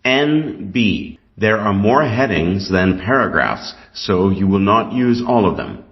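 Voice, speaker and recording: A Canadian man reading scripted test material aloud in a deliberate, instructional way. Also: on the low side, animated. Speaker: reader of an English exam reading passage. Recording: dull, lo-fi sound.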